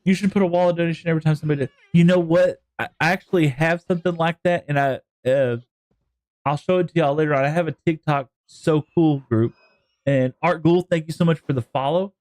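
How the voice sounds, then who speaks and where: A man's voice: a little high, fairly quick, a little mellow, dry recording. A man with a Texan accent chatting to his viewers on a live gaming stream, rambling and joking as he plays. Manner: rambling, jokey, talks to chat.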